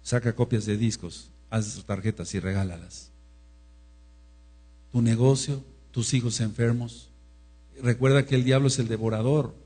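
Husky emphatic male preacher, humming recording. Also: mid-range voice, steady tone, measured pace, long pauses. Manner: emphatic, rising to loud exclamations. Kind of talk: sermon. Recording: mains hum.

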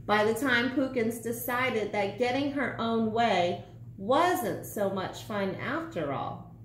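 Slow, animated woman reading a picture book. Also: fairly high pitch, reverberant, slight background noise, low-quality recording. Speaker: teacher reading aloud. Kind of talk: children's picture-book narration.

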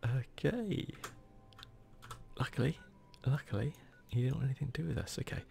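A man softly giving casual ASMR commentary over a video game, often slipping into a whisper, with a self-deprecating manner. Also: mid-range voice, lively intonation, moderate pace, gravelly, a little hiss or hum. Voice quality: soft-spoken, often whispery. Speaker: gaming video host.

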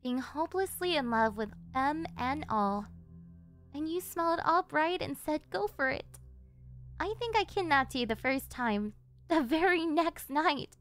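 A high-pitched yandere delinquent girlfriend, acted by a woman for an ASMR audio roleplay. She is clingy and doting, pleading sweetly with a possessive, threatening edge. Music plays behind her voice. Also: expressive, measured pace, a bit bright. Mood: doting, wistful. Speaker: voice actress.

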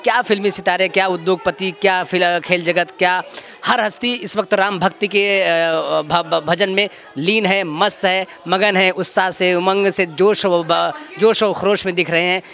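A man's voice: high voice, steady tone, pushed and bright, soft background music. A man speaking in flowery phrases that trip over themselves. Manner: rapid flowery phrasing with stumbles. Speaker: newsroom colleague interviewed.

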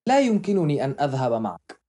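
Male lesson reader for short translated phrases, quick delivery, slightly rough-edged voice. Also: on the high side, big pitch swings, mellow. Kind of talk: scripted phrase reading.

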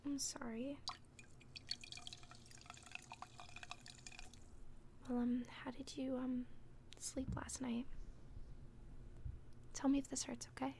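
A woman playing an obsessive, falsely gentle young caretaker in an ASMR roleplay, speaking softly and breathily with tender, halting hesitation. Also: high-pitched, level tone, slight background noise. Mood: soothing, hesitant. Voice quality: soft, breathy whisper. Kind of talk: whispered ASMR roleplay, personal attention.

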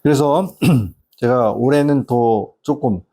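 A middle-aged man giving an informal, earnest explanation to parents as an educational consultant, wandering through his points with hesitant pauses. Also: mid-range voice, mellow. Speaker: educational consultant and channel host.

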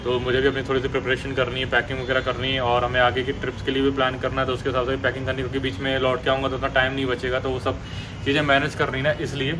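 A man's voice: medium pitch, monotone, very fast, noisy background. A man vlogging casually outdoors at a hotel, chatting in a playful, teasing way. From Lucknow, India. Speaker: travel vlogger. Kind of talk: casual vlog chat.

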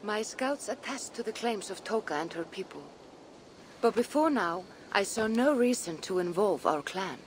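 An actress playing a measured, cautious female Norse clan advisor in a video game, reasoning carefully. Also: mid-range voice, animated, slight background noise, natural voice. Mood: cautious, grave. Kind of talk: video game cutscene acting.

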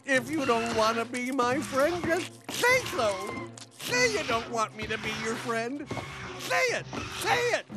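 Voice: medium pitch, very animated, unhurried, over loud music. An actor voicing a pompous, needy, eccentric little man who calls himself king of the vegetables, theatrical and melodramatic in a cartoon. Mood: hysterical, needy. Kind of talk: cartoon voice acting.

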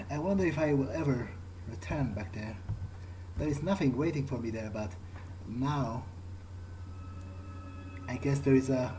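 Mellow, thoughtful man musing, faint noise behind. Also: mid-range voice, lively intonation, a little slow, long pauses, rough recording. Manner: hesitant, thoughtful. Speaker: gamer playing in character. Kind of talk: in-character musing while playing a video game.

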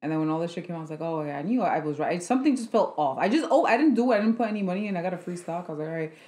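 Young woman, podcast co-host, blunt casual talk, swears freely, slightly low pitch, echoey room, far camera. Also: lively intonation, rapid-fire, mellow. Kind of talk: casual chat. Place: room with a conference table.

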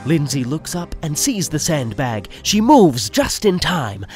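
A man's voice: a little high, very animated, a little slow, a little mellow, soft background music. A man giving scripted, theatrical narration for a radio play.

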